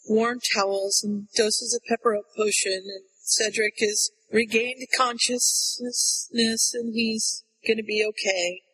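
A man co-hosting a casual book discussion, retelling the story in an animated way. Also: high voice, slightly rough. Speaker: podcast co-host. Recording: long echoey reverb.

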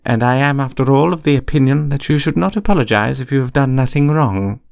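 Man acting older female professor, storybook character, stern, brisk, formal, exact, raised pitch. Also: dry recording, studio quality, close to an everyday voice. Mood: firm, brisk. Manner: crisp, formal, firm.

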